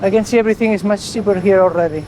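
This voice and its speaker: A man with a notably high voice talks in halting second-language English, often restarting his sentences as he vlogs on foot through a small town. Light engine and traffic noise runs behind him outdoors. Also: mellow, rough recording. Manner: halting, with many restarts and self-corrections. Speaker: travel vlogger. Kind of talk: casual walk-and-talk vlog. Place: outdoors in a small town's streets and market.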